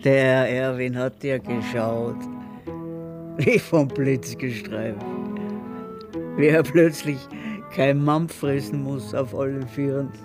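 A villager looking back on wartime in a radio play. He speaks at a slow, storytelling pace, with soft music behind. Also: medium pitch, steady tone. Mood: wry. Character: male villager recounting wartime memories. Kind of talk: narration in a radio drama.

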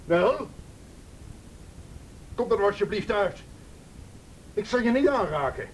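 A man's voice: very animated, takes it slowly, heavily acted. An actor playing a menacing, desperate man who bullies and pleads with his partner in a radio play, in a coarse, commanding way. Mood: pleading. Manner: coarse, commanding, swinging between threats and pleading. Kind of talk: Dutch radio play acting. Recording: noisy radio play recording with rumble.

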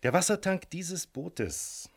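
A man playing a courteous but firm, wily police inspector in a radio crime drama, speaking formally and deliberately with polite menace. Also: high voice, sing-song, gravelly, breathy edge, exaggerated voice. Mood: formal, warning.

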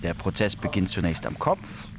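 Clinical radio-play lecturer, low pitch, sober, deliberate, matter-of-fact scripted narration, faint noise. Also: male voice, very animated.

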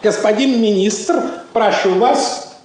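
A man's voice: high-pitched, takes it slowly, a little mellow. An actor playing an urbane male go-between in a 1960s radio drama, courteous and dryly sarcastic. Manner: courteous, ironic. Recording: roomy vintage drama recording with music.

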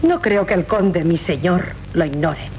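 An actress playing a bustling, teasing, protective nursemaid in Spanish radio theatre, wry and familiar, with a Castilian accent. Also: female voice, lowish voice, lively intonation, measured pace, darkish tone. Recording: faintly noisy 1970s radio recording.

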